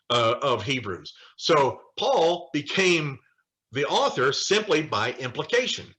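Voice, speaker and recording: A man teaches a Bible study in a bright, forceful voice with very lively pitch. He lectures informally from notes, leaning hard on key phrases and slipping in wry asides. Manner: emphatic, animated, with wry asides. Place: online video call study session.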